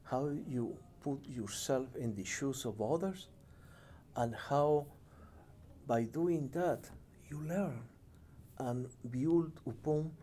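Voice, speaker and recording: A middle-aged man from Ecuador with a Spanish accent, giving reflective interview answers in a measured, halting, earnest way. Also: mid-range voice, mellow, slight background noise. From Cuenca, Ecuador. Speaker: international development professional, interviewee.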